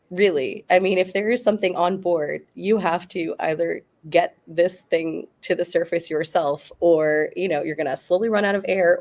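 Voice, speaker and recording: A woman's voice: on the low side, darkish tone. A woman arguing her point emphatically in a casual debate, as a guest on a talk show.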